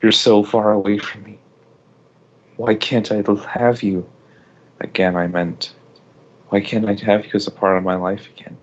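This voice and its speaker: A man voicing an anguished, lovesick young woman in an amateur story reading, breathy and melodramatic. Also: fairly low pitch, little pitch movement, normal speed, long pauses, natural voice. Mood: anguished pleading. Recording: dull lo-fi home recording.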